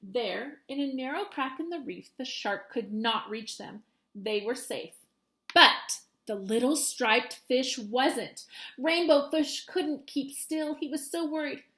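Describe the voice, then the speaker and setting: A woman's voice: high-pitched, slow, a bit bright. A woman teacher reading a children's storybook aloud expressively to her students.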